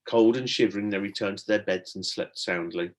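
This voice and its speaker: Expressive man reading a children's story. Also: fairly low pitch, brisk. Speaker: primary school teacher reading aloud.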